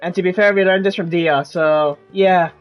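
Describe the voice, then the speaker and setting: A woman's voice: lowish voice, steady tone, moderate pace. Casual woman gamer over faint music. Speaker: video game streamer. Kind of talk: casual playthrough commentary. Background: game music.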